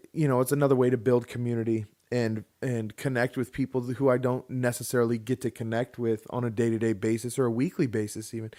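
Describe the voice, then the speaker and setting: A man in his thirties hosts a relaxed podcast, talking loosely and frankly. His speech rambles along with restarts and the odd curse word. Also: medium pitch. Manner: rambling, frank, with mild swearing. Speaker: podcast host. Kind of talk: casual podcast chat.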